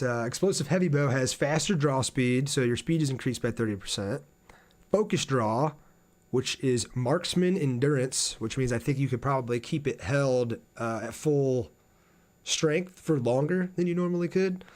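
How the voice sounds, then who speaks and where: A man giving casual live gameplay commentary as a game streamer, talking to his viewers as he plays. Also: medium pitch.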